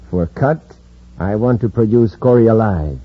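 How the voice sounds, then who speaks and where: An actor playing a calculating, smug leader of space thieves in a 1950s radio drama, giving orders in a commanding way on a muffled old recording. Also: male voice, lowish voice, animated, moderate pace, slightly rough.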